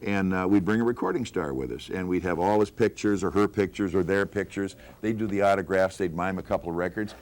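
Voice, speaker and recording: Older male, low-pitched. Veteran broadcaster telling animated, folksy recollections in conversation. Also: brisk, hardly any pauses. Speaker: veteran radio personality. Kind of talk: reminiscing in a group interview.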